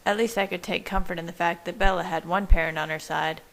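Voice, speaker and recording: A woman reading scripted first-person fiction as an amateur audiobook narrator, measured and steady. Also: on the low side.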